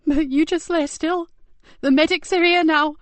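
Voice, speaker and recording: A woman's voice: high-pitched, steady tone, unhurried, no echo, voice pushed up. A woman doing a fierce female police officer. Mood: pleading. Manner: forceful, urgent.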